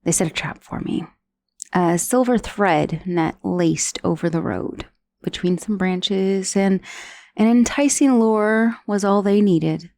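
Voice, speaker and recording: A woman's voice: medium pitch, slow, a little mellow, no echo. Woman voicing a wry undead severed head, dry close recording. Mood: rueful. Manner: conversational, dryly sardonic, with sighs and hesitations. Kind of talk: ASMR roleplay monologue.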